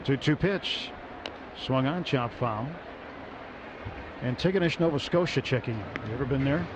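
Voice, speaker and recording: A man calling baseball play-by-play on the radio, brisk and lifting on big plays. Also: mid-range voice, lively intonation. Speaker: play-by-play announcer. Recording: muffled radio broadcast with noise.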